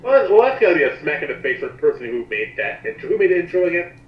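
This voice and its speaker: Male co-host, very lively delivery, sarcastic banter, dull and roomy lo-fi recording. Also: on the high side, quick pace. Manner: sarcastic, animated. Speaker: commentary co-host. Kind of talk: casual joking banter. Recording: echoey, dull lo-fi mic with noise.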